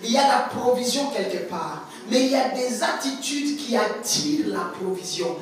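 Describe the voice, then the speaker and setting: A woman's voice: a little high, lively intonation, normal speed. A woman prophetess preaching a sermon, emphatic, repeating words and stretching key phrases. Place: church hall. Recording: echoey church hall, distant mic, faint music.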